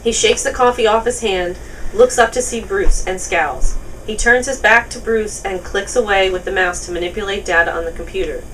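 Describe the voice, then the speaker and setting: A woman's voice: medium pitch, normal speed, fairly bright tone. A woman reading stage directions as scripted narration at a table read. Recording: distant mic, noisy room.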